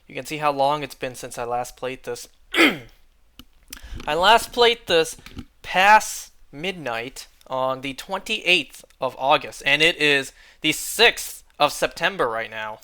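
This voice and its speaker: A young man streaming a game, chatting casually and rambling, clearing his throat often as he is losing his voice. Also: on the high side, lively intonation, takes it slowly, pushed and bright.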